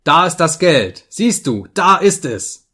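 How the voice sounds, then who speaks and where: An enraged, proud master, voiced by a male narrator, bellowing orders and repeating himself in fury. His voice is pushed up high and loud, overwrought and close to frantic, in a dramatic audiobook story. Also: big pitch swings, slow, husky, exaggerated voice. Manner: shouting in commands, repeating himself furiously.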